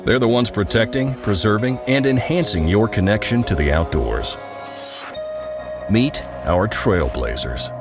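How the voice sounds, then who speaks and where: A man giving a scripted narration in a smooth promotional delivery. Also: fairly low pitch, lively intonation, unhurried. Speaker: video narrator. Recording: voice-over over clear background music.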